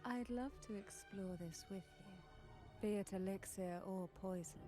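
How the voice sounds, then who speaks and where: A woman's voice: medium pitch, moderate pace, close to an everyday voice. An actress playing an enigmatic, alluring woman in a video game, speaking with teasing, theatrical elegance. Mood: playful, seductive. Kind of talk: scripted video game dialogue. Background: game music.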